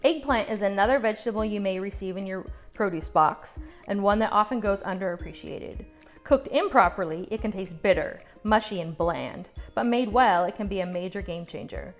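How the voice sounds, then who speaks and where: A woman narrates a cooking lesson, walking through each step in a calm, scripted voice-over. Her pitch sits in the middle, and light music plays quietly beneath her. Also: moderate pace. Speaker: cooking instructor. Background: soft background music.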